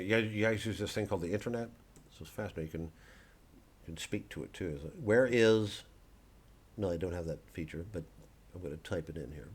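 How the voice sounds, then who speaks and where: A man with a fairly low voice talks casually, like a comedian plugging a gig to camera. The delivery wanders and digresses, with a dry, mock-earnest edge. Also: breathy edge. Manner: rambling, digressive. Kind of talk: casual vlog promoting a live show.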